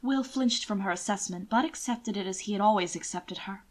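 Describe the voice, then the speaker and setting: A woman narrating an audiobook, reading scripted fiction in an expressive storytelling style. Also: mid-range voice, fairly quick.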